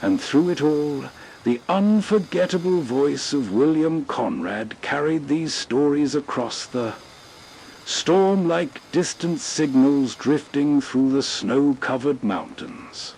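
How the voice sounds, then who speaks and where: A man narrating as host in a scripted, unhurried late-night radio style. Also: a little high, lively intonation, darkish tone, low-quality recording. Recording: faint background noise.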